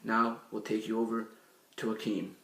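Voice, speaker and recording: A hoarse young man hosts a casual sports recap, his voice low and fairly flat. He talks loosely, with plenty of fillers and personal takes. The sound is roomy and echoey, picked up from a distance. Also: moderate pace. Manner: conversational, filler-heavy recap with personal opinions. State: hoarse, partly lost voice. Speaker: sports show host. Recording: echoey room, away from mic.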